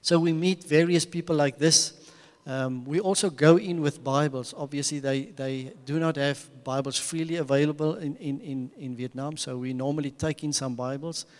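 A man's voice: a little high, steady tone, moderate pace, hardly any pauses, darkish tone. An Afrikaans-accented man talks earnestly through a slide presentation for a church audience. He often restarts and repeats his words, chuckling between thoughts. His voice rings with a long reverb from a large hall. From South Africa. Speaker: mission speaker.

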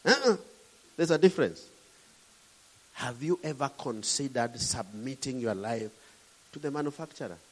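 A man's voice: medium pitch, animated, normal speed, pauses often, slight background noise, low-quality recording. A middle-aged man from Kenya with a Kenyan accent, preaching a sermon with emphatic, rising and falling delivery.

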